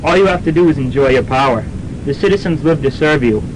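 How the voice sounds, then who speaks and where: A man's voice: animated, fast, close to an everyday voice. A young man playing a dry, smug American businessman in a campus radio comedy. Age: young adult. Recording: noisy rumbling student radio recording.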